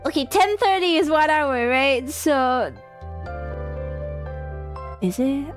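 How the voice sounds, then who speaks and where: Slow, hesitant young woman streamer over music. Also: high-pitched, expressive. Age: young adult. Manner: hesitant, rambling, talking to herself. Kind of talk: casual live game stream chat.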